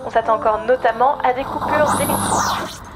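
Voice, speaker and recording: Woman playing high-voiced weather news reporter. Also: brisk, over loud music, lightly acted. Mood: formal. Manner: formal, informative. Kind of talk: scripted news bulletin.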